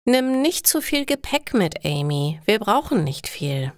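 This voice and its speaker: A woman's voice: medium pitch, very animated, measured pace, dry recording, studio quality. A woman voicing a reassuring, playful father in a storybook reading, speaking in short simple phrases.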